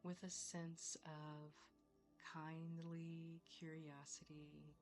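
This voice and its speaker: A woman leading a guided meditation in a soothing, measured way, leaving long pauses between phrases. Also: deep voice, completely flat delivery. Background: soft background music.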